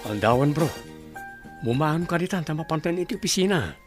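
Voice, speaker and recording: A man's voice: on the high side, expressive, a little fast, over music, close to an everyday voice. A man playing an easygoing, brotherly village buddy in an Ilocano comedy radio drama, casual and questioning. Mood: concerned.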